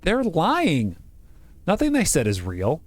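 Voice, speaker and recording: A man's voice: a little high, huge pitch swings, slow pace, full character voice. A man voicing a weary, hot-tempered woman doctor, blunt and sardonic, in a visual novel game. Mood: indignant.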